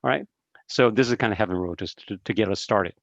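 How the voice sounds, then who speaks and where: A man's voice: lowish voice, fast talker, gravelly, dry recording. Earnest man from Taiwan on a video call. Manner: earnest, encouraging, with hesitant fillers. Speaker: seminar speaker. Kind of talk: online seminar talk. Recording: dry, dull video-call audio.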